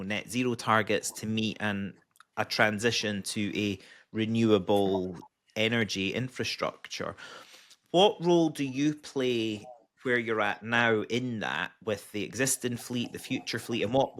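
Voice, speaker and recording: A Scottish man hosting a podcast interview, talking conversationally with many pauses and restarts. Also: on the low side, a bit bright. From Scotland. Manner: conversational, hesitant, lots of ums and restarts. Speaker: podcast host.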